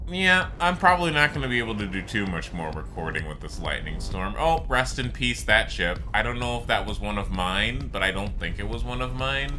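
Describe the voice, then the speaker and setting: A man giving casual, rambling, explanatory commentary on a video game. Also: on the low side. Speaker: gaming video host.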